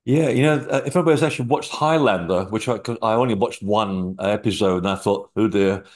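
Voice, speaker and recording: Deep-voiced man with a mixed accent. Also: brisk. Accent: mixed Portuguese, British and North American. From Portugal. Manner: animated storytelling with many fillers. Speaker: guest researcher. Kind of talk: interview by video call. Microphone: video call.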